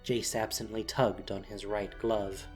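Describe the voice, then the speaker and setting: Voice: low-pitched, level tone, measured pace, a little mellow, husky, faint music behind. A narrator reading a scripted, dramatic story for an audio drama.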